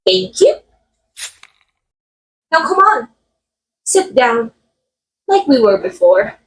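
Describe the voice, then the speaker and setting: Roleplay lead: woman as a vain killer actress, high-voiced, unhurried, coyly condescending. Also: big pitch swings, slight background noise. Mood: teasing menace. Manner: theatrical, coy, condescending, drawn-out phrasing. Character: murderous method actress, vain, sweetly menacing, theatrical. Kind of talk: ASMR roleplay acting, addressing the listener.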